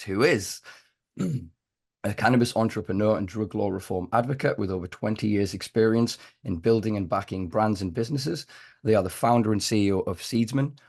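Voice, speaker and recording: A very low, husky-voiced man hosts a relaxed podcast chat. He is hoarse and nearly voiceless, so he talks slowly and softly, stretching his words. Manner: speaking slowly and quietly to spare his voice. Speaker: podcast host. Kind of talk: casual podcast interview.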